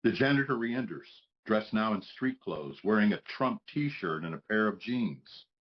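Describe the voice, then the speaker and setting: A man's voice: lowish voice, expressive, a little slow, highs cut off. A man reading stage directions as the narrator of a script, in scripted narration style.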